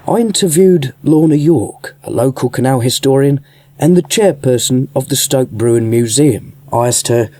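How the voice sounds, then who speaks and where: A male reporter narrates a radio documentary in a scripted style. His voice sits at an average pitch and has a husky edge. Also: warm tone. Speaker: reporter and interviewer.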